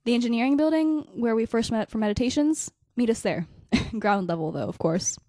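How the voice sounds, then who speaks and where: A woman acting a caring, direct young student friend in a naturalistic audio drama, encouraging and gently insistent. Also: a little high, animated, brisk, dry recording, studio quality, natural voice.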